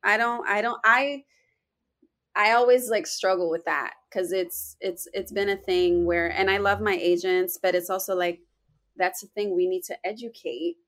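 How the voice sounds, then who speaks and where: A woman's voice: mid-range voice, normal speed. A young woman with a Puerto Rican accent, an actress speaking earnestly in a casual interview, filling her talk with "you know.". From Puerto Rico heritage. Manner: earnest, animated, many "you know" fillers.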